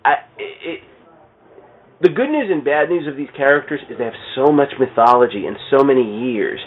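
A man's voice: mid-range voice, expressive, normal speed, a little hiss or hum. A man chatting casually about comics and films in a rambling, jokey way that often interrupts itself. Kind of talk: casual comics and film chat. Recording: thin phone-like podcast audio.

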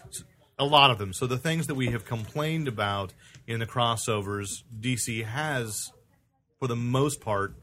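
A man's voice: mid-range voice, a little slow, sharp tone, soft background music. A middle-aged man hosting a casual comics podcast, opinionated, with mock-solemn joking. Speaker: podcast host.

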